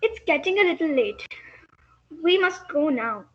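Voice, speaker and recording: A woman's voice: high-pitched, expressive, measured pace. A woman playing a prim, polite neighbour lady, reciting lines in an amateur reader's theater. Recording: dull, muffled sound.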